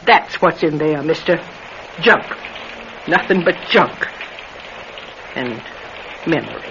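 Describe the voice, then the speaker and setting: A high, swooping voice for an old retired circus trouper in a radio play. He is folksy, nostalgic and wary, rambling like a born storyteller. The sound is dull vintage radio with clear noise behind it. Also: lively intonation, takes it slowly, exaggerated voice. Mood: wistful, nostalgic. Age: older. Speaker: radio drama actor. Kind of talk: classic radio drama acting.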